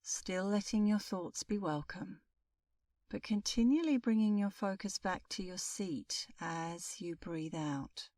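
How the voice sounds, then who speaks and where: A middle-aged woman leading a guided meditation as an instructor, speaking in a calm, soothing, unhurried way with long pauses. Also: medium pitch, no echo, distant mic.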